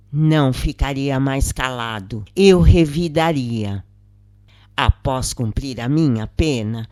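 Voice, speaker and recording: A Brazilian narrator reading a scripted first-person spiritual account in a measured, solemn way, in short phrases. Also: mid-range voice, darkish tone, no room sound.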